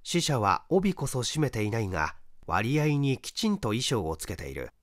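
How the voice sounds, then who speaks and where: A man reading a literary mystery as dramatised narration, in a formal, measured storytelling manner. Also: mid-range voice, big pitch swings, no echo, clean studio sound. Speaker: audiobook reader, stage actor.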